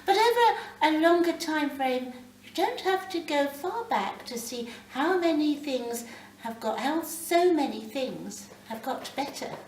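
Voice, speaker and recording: Expressive, high-voiced woman reading a story. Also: unhurried. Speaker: reader of a short story. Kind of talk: expressive live reading of literary fiction. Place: library reading event, indoors. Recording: roomy, distant mic, faint background noise.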